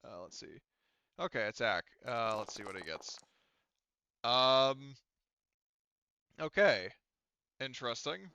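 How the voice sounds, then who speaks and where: A man running a tabletop roleplaying game as game master, casual and sweary, halting as he mutters through the rules. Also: medium pitch, sing-song, gravelly, pushed and bright, clear, resonant tone, dry recording. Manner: halting, muttering to himself while checking rules.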